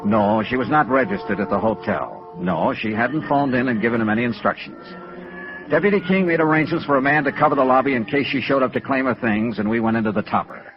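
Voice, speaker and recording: An American actor playing a world-weary insurance investigator in 1950s radio noir, clipped, matter-of-fact and pressing. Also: male voice, mid-range voice, fast, over music, lightly acted. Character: world-weary, persistent male insurance investigator narrating his case. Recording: dull vintage radio recording.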